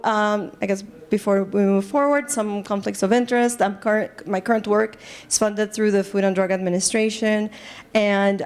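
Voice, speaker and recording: A woman's voice: mid-range voice, darkish tone, smooth. A woman from Colombia with a Colombian accent, an assistant professor giving a lecture to a live group, earnest, with many fillers and restarts. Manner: earnest, lots of fillers and restarts, engages audience. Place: conference room.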